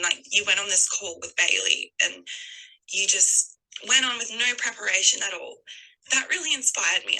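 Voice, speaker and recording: A woman in her twenties talking unscripted and from the heart on a call, earnest and effusive, with halting, emphatic pauses. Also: medium pitch, pushed and bright, low-quality recording. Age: 20s. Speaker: guest on a call.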